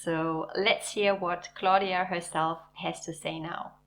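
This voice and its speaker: A woman hosting a knitting channel, narrating in a warm, enthusiastic, measured way. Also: on the low side, steady tone, a little hiss or hum. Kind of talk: scripted video narration.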